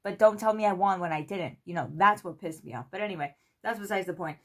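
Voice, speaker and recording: A woman's voice: medium pitch, fast talker. A woman in her twenties from Spain, an English speaker, telling a rambling story to camera with lots of "like" and "you know". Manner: chatty, rambling, full of "like" and "you know", lively quoted voices. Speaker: PhD student vlogger.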